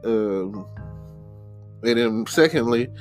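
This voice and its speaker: Male podcast host from Texarkana, mid-range voice, loose off-the-cuff monologue, halting and repetitive, music underneath. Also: medium pitch, expressive. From Texarkana, United States. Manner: rambling, halting, repeats words, says "you know" often. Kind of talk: casual rambling solo podcast monologue.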